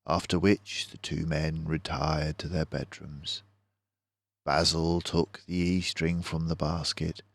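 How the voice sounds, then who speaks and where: A man's voice: low voice, no echo. An older man reading a children's book aloud as a storyteller.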